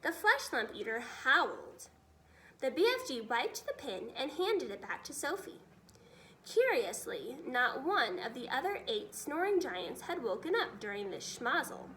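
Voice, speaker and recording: A woman reading a children's story aloud as expressive scripted narration. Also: high voice, a little slow, slight background noise. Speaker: storybook reader.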